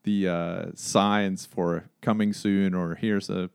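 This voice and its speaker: A man's voice: low-pitched, level tone, slow pace, gravelly, clean tone, dry recording, clean studio sound. A man from Sweden with a Swedish accent, a native Swedish speaker, asking questions casually as an interview host.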